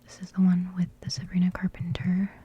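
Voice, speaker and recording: A young woman whispering a relaxed music reaction in ASMR style, giggling softly and rambling with plenty of fillers. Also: on the low side, fairly quick, breathy edge, dull audio. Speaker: ASMR creator reviewing music.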